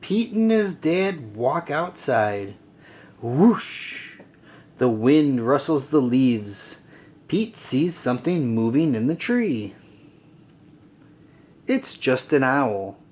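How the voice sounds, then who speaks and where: A storybook narrator reading aloud for children in an expressive, deliberate way. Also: medium pitch.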